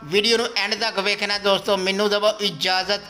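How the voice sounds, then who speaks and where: A man's voice: sits high, little pitch movement, normal speed, sharp tone, soft background music. A Pakistani man with a Punjabi accent, giving a repetitive, scripted sales voice-over for livestock, returning again and again to the same stock phrases. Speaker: livestock sale presenter.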